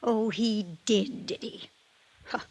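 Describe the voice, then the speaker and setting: A woman's voice: on the high side, fairly even intonation, slow, darkish tone, no room sound. An American actress playing a tough, proud older grandmother in a 1950s radio drama, blunt and authoritative. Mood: sharp, probing. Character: tough, proud, shrewd old widowed ranch grandmother.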